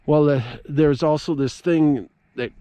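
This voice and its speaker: A middle-aged man giving a casual, halting, folksy account as he vlogs outdoors at a park. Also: on the high side, steady tone, dark tone, no room sound. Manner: halting, folksy, stop-and-start storytelling. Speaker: amateur radio operator vlogging. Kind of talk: casual rambling outdoor vlog. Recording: clear ambient noise outdoors. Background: ambient outdoor noise.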